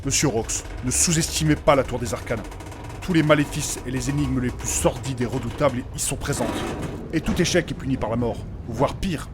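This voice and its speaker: A man playing a formal, pedantic gentleman mage in a comic fantasy audio drama, speaking in precise, old-fashioned phrases. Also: mid-range voice, brisk, noisy background, lightly acted. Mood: solemn, explanatory. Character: formal, learned, fussy gentleman mage companion.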